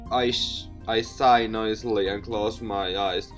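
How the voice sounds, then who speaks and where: A game streamer with a mid-pitched voice reading a story game aloud expressively, with asides and the odd stumble over words. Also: steady tone, unhurried, music in the background. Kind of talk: let's play narration with reactions and asides.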